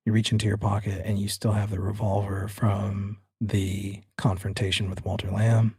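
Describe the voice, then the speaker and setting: A man's voice: on the low side, little pitch movement, moderate pace, mellow, husky, no room sound, studio quality. A man hosting and narrating a horror tabletop game as game master, with a suspenseful storytelling delivery. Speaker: game master and show host.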